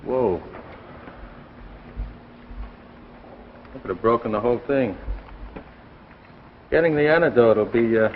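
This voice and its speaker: An American man playing an excited, driven research scientist and husband in a 1950s television drama, explaining haltingly and eagerly. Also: medium pitch, close to an everyday voice. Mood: shaken, careful. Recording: tinny, noisy 1950s broadcast recording.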